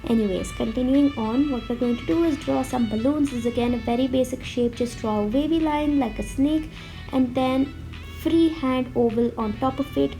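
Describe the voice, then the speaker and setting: A woman with a slightly sick-sounding voice, explaining a drawing tutorial step by step in a friendly, relaxed way. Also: sits high, moderate pace. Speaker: art tutorial host. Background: faint background music.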